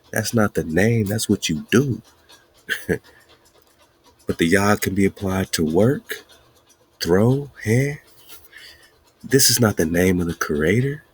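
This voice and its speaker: A man's voice: lowish voice, breathy edge. A man hosting a podcast, giving an emphatic, chanting, preaching-style teaching monologue, laughing often. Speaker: podcast host.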